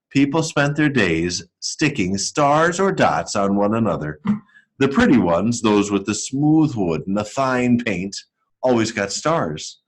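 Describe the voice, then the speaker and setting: A man reading a picture book aloud to schoolchildren as an educator, telling it expressively and deliberately. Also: fairly low pitch. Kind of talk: storybook read-aloud for children.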